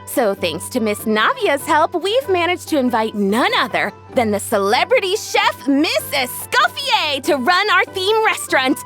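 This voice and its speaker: High-pitched perky young inventor. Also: female voice, sing-song, a little slow, hardly any pauses, fairly bright tone, faint music behind, full character voice. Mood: cheerful, modest. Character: bubbly young female inventor, proud, enterprising, polite. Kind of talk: video game voice acting.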